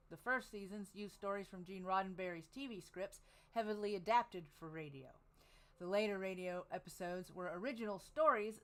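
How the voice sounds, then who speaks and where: A woman podcast host reading a biography aloud in a casual way, laughing off her own slips. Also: mid-range voice.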